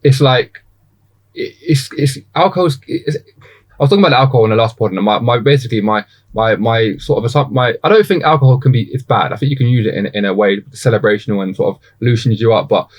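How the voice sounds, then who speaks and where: Fast-talking man in his twenties. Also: medium pitch. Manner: slangy, rambling, interrupts often. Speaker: podcast host. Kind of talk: casual podcast conversation.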